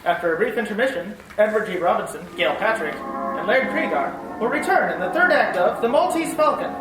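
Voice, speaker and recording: Woman announcer, persuasive lilting delivery, lively pitch, distant roomy old broadcast, faint hiss. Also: brisk, music in the background. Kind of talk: 1940s radio commercial.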